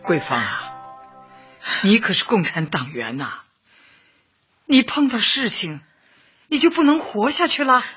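An actress in a radio drama playing a kindly older community official, consoling and persuasive. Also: female voice, a little high, very animated, unhurried, long pauses, a bit bright, slightly rough, heavily acted. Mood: chiding. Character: steady, kindly older female community Party secretary. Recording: dull lo-fi, music behind.

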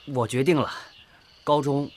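A man's voice: medium pitch, fairly even intonation, a little slow, lightly acted. An actor in a radio drama playing a sincere, dutiful teenage farm boy, earnest and resolute. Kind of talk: Chinese radio drama acting. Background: music.

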